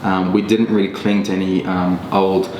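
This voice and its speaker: A man in a relaxed podcast conversation, talking in a thoughtful way with many ums and restarts. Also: moderate pace. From Australia. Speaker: podcast guest. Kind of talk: casual reflective conversation. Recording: echoey room with faint rumble.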